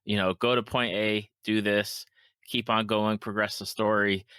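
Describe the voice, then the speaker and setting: A man's voice: on the low side, flat delivery, a little slow, a bit bright, clear, resonant tone, dry recording. A man hosting a casual podcast chat, talking enthusiastically and repeating words for emphasis. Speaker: podcast host.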